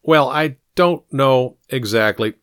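Man playing a lofty, higher-pitched teenage boy genius. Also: slow pace, fairly bright tone, clean tone, no room sound, studio quality. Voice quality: squeaky, breaking adolescent voice. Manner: formal, dignified, precise wording. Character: smug, lofty fourteen-year-old boy genius, indignant.

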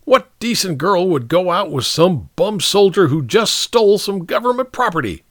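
A man's voice: high-pitched, very animated, moderate pace, a bit bright, no room sound, studio quality, pitched above the performer's own voice. A man voicing a strict, short-tempered, proud American army captain in an audiobook, giving clipped orders. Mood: stern, irritated. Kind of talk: audiobook character dialogue.

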